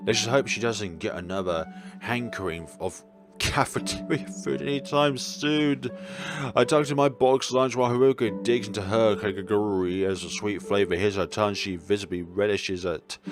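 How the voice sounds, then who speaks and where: Male let's player, lowish voice, reading a visual novel theatrically with playful asides and stumbles, clear music underneath. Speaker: video game let's player. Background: game music.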